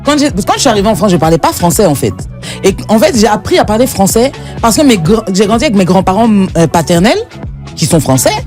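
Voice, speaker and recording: A woman's voice: mid-range voice, sing-song, quick pace, a little mellow, soft background music. A woman guest on a radio show, chatting casually, telling stories and laughing often.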